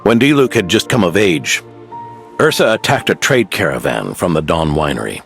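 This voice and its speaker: An actor playing a weary, dignified old male knight who tells past events in a measured, solemn way. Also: deep voice, sing-song, gravelly, fairly bright tone, faint music behind, full character voice. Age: older. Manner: measured, storytelling delivery. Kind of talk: video game voice acting.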